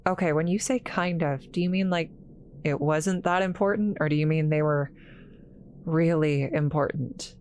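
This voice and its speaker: A woman playing an awkward, kind scientist in a roleplay audio drama, halting and apologetic, stammering as she talks to the listener. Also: low voice, a little mellow, a little hiss or hum, close to an everyday voice. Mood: nervous, dismayed.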